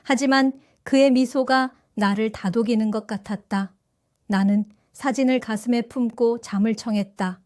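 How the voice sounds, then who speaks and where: A woman in her seventies narrating her own story for an audio drama, in a gentle, reflective and wistful way. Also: a little high, fairly even intonation, moderate pace, no room sound, studio quality. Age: 70s. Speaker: first-person narrator.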